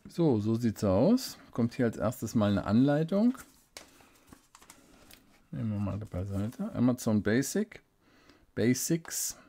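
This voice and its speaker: A man with a dark, mellow voice talks through an unboxing at his desk, close to a studio microphone. He thinks aloud at an unhurried pace and drops small fillers as he handles the package. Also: mid-range voice, big pitch swings. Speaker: fountain pen reviewer. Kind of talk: casual unboxing commentary. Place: indoors at a desk, hands-only camera.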